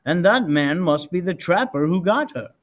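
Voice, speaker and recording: A man's voice: high-pitched, expressive, moderate pace. Man as an earnest, kind-hearted young soldier vet. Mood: thoughtful.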